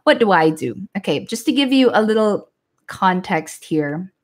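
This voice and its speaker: A woman's voice: mid-range voice, expressive, normal speed. A woman in her forties, a yoga teacher from the Philippines, talking frankly and casually to viewers on a live stream, often restarting her sentences. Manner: chatty, frank, restarts sentences, laughs lightly.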